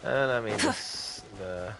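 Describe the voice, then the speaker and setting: A man's voice: on the low side, slow pace, creaky. A man streaming a video game with casual, offhand commentary, muttering to himself as he plays. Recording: game music behind the voice.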